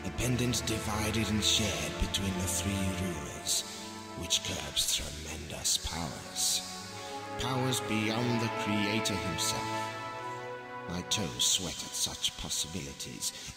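Game monk: very low, solemn, troubled confession, echoing under loud music. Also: male voice, slow pace. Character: tormented, pious monk confessing in his journal. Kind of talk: dramatic journal narration. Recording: echoey, loud music behind.

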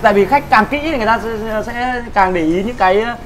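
A man's voice: sits high, quick pace, background noise. A man presenting his restaurant's dishes to friends in a casual food vlog, chatty and explaining as he goes. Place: busy restaurant kitchen, indoors. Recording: crowd chatter in a kitchen. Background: crowd talking.

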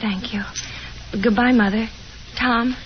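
An actress playing a shy, fragile young daughter, hesitant and meek, in 1950s radio drama style. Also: female voice, on the high side, fairly even intonation, slow pace. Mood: timid, flustered. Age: young adult. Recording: dull, noisy 1950s radio broadcast.

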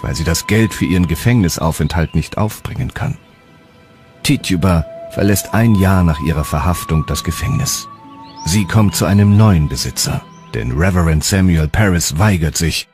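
A man's voice: low-pitched, a little mellow. A man delivering scripted narration for a history documentary. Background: faint background music.

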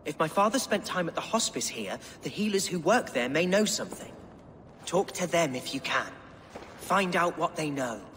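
A man's voice: on the high side, animated, normal speed, fairly bright tone, slight background noise. A man playing a naive, emotional young Anglo-Saxon lord in a video game, with an earnest way of talking. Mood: anxious. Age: young adult. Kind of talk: video game cutscene acting. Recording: hall reverb with faint music.

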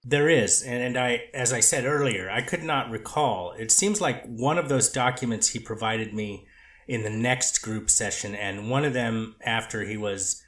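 A man, a treatment counselor, testifying as a witness in a courtroom, measured and guarded, speaking in institutional terms. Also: mid-range voice, a bit bright. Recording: echoey courtroom audio.

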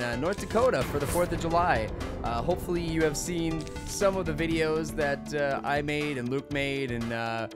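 Young male gaming host, slightly hoarse, playful and jokey, breaks into yelling; game music heavy behind him. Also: fairly high pitch. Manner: goofy, jokey, bursts into loud yelled shouts. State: slightly hoarse from losing his voice. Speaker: gaming commentator. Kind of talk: casual gameplay commentary. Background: video game music.

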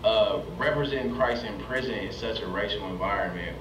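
A man's voice: on the low side, fairly quick, lo-fi sound. Streetwise young man, echoey and distant. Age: young adult. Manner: emphatic, streetwise, repeats "you know what I mean". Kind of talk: panel discussion. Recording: video played in an echoey hall, off-mic, noisy.

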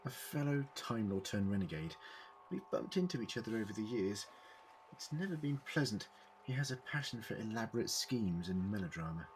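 Casting: male actor as clever, eccentric time traveller in audio drama; formal, explanatory, lively intonation, ambient noise behind. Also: fairly low pitch, rough recording. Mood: measured, explaining. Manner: deliberate, explanatory, formal wording.

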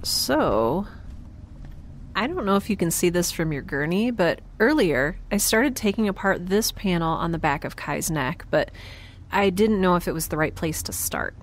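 A woman's voice: on the low side, lively intonation, moderate pace. A woman playing a chatty, self-doubting young spacefarer in an audio drama, with a casual, hesitant delivery. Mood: anxious, apologetic. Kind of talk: naturalistic audio drama acting. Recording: faint background noise.

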